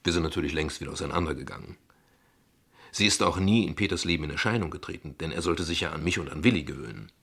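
An actor playing a guarded, ironic father in a radio play, speaking in measured, eloquent, formal sentences. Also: very deep voice, expressive, gravelly, fairly bright tone, breathy edge, exaggerated voice. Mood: ironic, defensive. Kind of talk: radio play dialogue acting.

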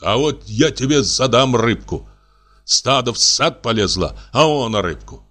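Country gentleman for a storybook, male narrator acting: stern, impatient, commanding, with animated rise and fall. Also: medium pitch, a little slow, voice pushed up. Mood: scolding. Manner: strict, commanding, irritated.

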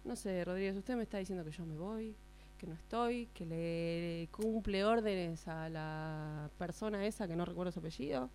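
Woman acting a creaky-voiced, patronizing Argentine female boss. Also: lowish voice, moderate pace, darkish tone, close to an everyday voice. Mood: needling, reproachful. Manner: formal address, repetitive, needling. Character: insistent, patronizing female supervisor scolding her employee. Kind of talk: radio drama workshop acting. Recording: amateur recording with mains hum.

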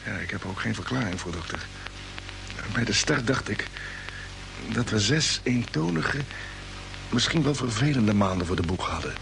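An actor playing an earnest, uneasy spaceship commander in a radio drama, speaking haltingly and urgently. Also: male voice, on the low side, lively intonation, vocal fry, music in the background, low-quality recording. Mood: pensive, uneasy. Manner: halting, urgent, with repeated words. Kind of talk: old radio drama acting.

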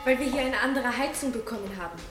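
A woman plays a plain-spoken castle maid in a German radio play. Her voice is fairly high and rings in a very echoey space, with music clearly behind it. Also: lively intonation, fast talker, natural voice. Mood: matter-of-fact. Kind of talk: German literary radio drama acting.

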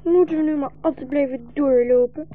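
A woman's voice: high-pitched, steady tone, brisk, lightly acted. A woman playing a hungry, trusting young refugee boy, childlike and earnest, in a 1960s Dutch radio drama. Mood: plaintive. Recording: dull, muffled 1960s radio recording.

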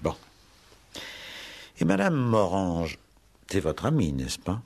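Police inspector, low voice, husky, courteous, measured, quietly probing, old French radio drama. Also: male voice, huge pitch swings, heavily acted. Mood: gently insistent. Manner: polite, measured, insistent questioning. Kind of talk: 1960s French radio play acting.

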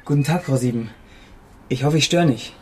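An actor as a polite, gentle young former pupil. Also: male voice, medium pitch, normal speed, background noise, lightly acted. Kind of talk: radio crime drama acting.

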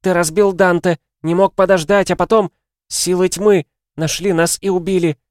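Guy doing a crazed dead mom from an audiobook, raised pitch, loud drunken blaming, very dry sound. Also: normal speed, no room sound, lightly acted. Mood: furious. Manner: loud, slurring, screaming. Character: deranged dead mother, drunk-sounding, shrill and accusing.

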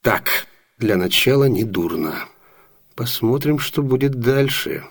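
An actor playing a wry, obliging innkeeper who is a confidant, in theatrical radio drama style. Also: male voice, mid-range voice, animated, slow pace. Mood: wry, reassuring.

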